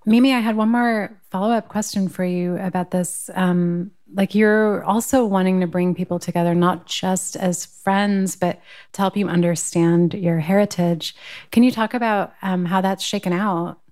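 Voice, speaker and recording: A woman hosts and moderates a panel on a book festival stage. She asks relaxed, conversational questions. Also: lowish voice, normal speed. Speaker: podcast host and panel moderator.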